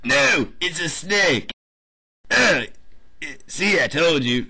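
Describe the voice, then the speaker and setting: Casting: cocky anime shrine-maiden girl, played by a man, husky, bright and forceful, slow-paced parody dub. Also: a little high, big pitch swings, exaggerated voice. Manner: brash, sarcastic.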